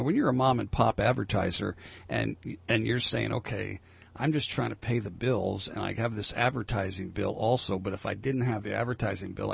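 An older man with a growly, gravelly voice hosting a casual talk radio show, rambling with many fillers and restarts. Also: on the low side. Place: radio studio. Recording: dull lo-fi radio broadcast.

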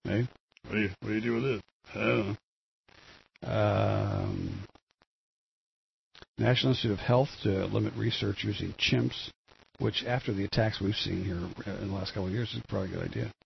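Older guy, super gravelly low voice, rambles on about headlines, kinda muffled lo-fi recording. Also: steady tone, moderate pace, pauses often. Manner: meandering, many fillers and restarts. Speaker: solo show host. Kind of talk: rambling solo commentary on news. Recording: dull lo-fi with faint noise.

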